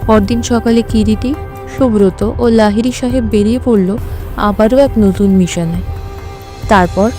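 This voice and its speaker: A woman's voice: medium pitch, steady tone, measured pace, mellow. A woman presenting an audio story series with a scripted introduction and recap. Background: music.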